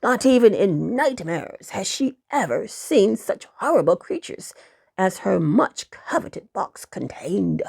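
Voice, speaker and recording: Slow, animated woman storyteller with creaky voice. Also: fairly high pitch. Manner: dramatic, with lingering emphasis and hushed dips. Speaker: children's storyteller. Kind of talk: expressive fairy tale narration.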